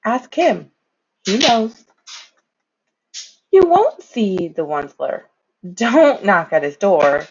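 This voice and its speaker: A woman reading a children's storybook aloud in an expressive, deliberate, dramatic storytelling manner. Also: medium pitch, husky, highs cut off. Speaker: reader of children's books.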